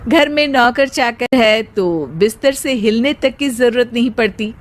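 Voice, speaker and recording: A woman narrates a scripted tale as storyteller. Her voice sits a little high and her delivery is markedly slow.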